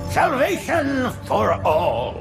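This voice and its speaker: Old alien prophet, high swooping voice, slow n creaky, pompous, game cutscene w music behind. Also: male voice, wildly swooping pitch, exaggerated voice. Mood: pious, grandiose. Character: aged pious alien prophet, grandiose and silky. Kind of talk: theatrical video game cutscene acting.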